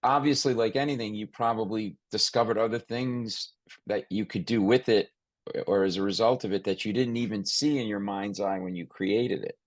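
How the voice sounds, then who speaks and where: A man's voice: on the low side, fairly even intonation, normal speed, no echo. A man hosting an interview in a casual, conversational way, rambling and thinking aloud as he goes. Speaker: podcast host interviewing a guest.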